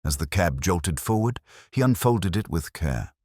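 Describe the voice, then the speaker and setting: A male audiobook narrator tells a scripted mystery in a solemn, atmospheric way. His voice is extremely deep and varied in pitch, recorded very dry. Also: lively intonation, unhurried, darkish tone, breathy edge, no echo.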